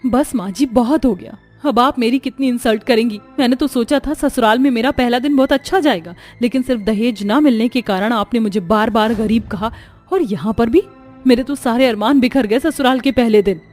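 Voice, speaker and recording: A woman acts out a young new daughter-in-law from a moral cartoon story. Her high voice is earnest and pleading, meek and easily hurt, with music playing behind her. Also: fairly quick, a little mellow, natural voice. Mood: wounded protest. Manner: earnest, plaintive, deferential.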